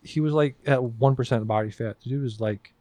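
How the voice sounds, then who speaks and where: A man's voice: mid-range voice, a little fast, dark tone, dry recording. A man hosting a podcast in casual banter, blunt and profane as he ribs his friends. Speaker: podcast host.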